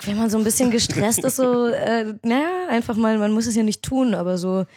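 A woman's voice: on the high side, brisk, darkish tone, no echo, clean studio sound. A woman guest on a podcast, talking casually and hesitantly with many fillers. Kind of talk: casual interview chat.

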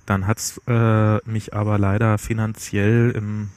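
A man's voice: lowish voice, level tone, takes it slowly, a little mellow. A young man co-hosting a casual podcast chat, joking and rambling and often correcting himself mid-sentence. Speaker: podcast co-host. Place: outdoor terrace.

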